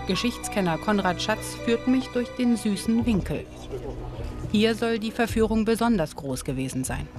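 A woman reads documentary narration in a measured, even way. Clear background music plays under her voice throughout. Her pitch sits in a middle range. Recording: narration over loud music.

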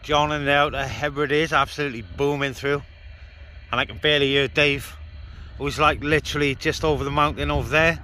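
A man's voice: fairly high pitch, steady tone, a little fast, bright, forceful tone, slight background noise. A man chatting casually in a laid-back, informal way as he hosts an outdoor vlog and talks on CB radio. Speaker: host, CB radio operator. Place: outdoors on open moorland by car boot.

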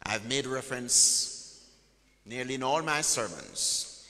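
A man's voice: medium pitch, lively intonation, takes it slowly, bright, forceful tone. A man preaching a sermon in a church, emphatic and call-and-response, drawing out his key words. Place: large church hall. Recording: long church hall reverb.

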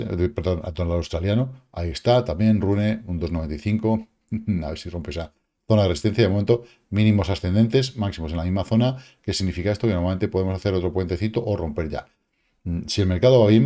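Lively man with a mellow voice. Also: lowish voice. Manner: lively, slangy, with exclamations and sound effects. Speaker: crypto market analyst. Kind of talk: casual chart commentary. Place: home studio, indoors. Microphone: webcam framing, seated at desk.

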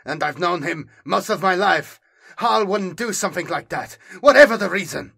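A man's voice: sits high, lively intonation, normal speed, gravelly, pushed and bright, slightly rough, voice pushed up, full character voice. A man voicing an earnest, sceptical, stubbornly loyal male scribe in an audiobook, terse and guarded. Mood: angry.

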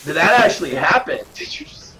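A man with a stuffed-up nose, commentating live at a gaming tournament, getting excitable on big kills. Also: high voice, a little fast. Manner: excitable, shout-outs to viewers, bursts of hype on kills. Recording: noisy with game gunfire behind.

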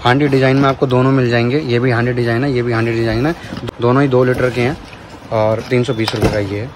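A man walks viewers through a crowded shop, talking casually into a handheld phone camera. He keeps echoing the seller's answers back to his audience in a mid-pitched voice. A faint hum sits behind him. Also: fairly even intonation, fast talker. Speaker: vlogger. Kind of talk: casual shop-tour vlog. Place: crowded cooker shop, indoors.

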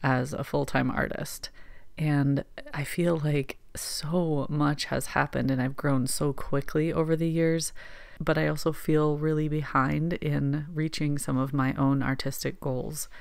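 A woman, a landscape painter, calmly narrating a reflective voice-over for her painting video. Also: sits low, unhurried, vocal fry, dry recording.

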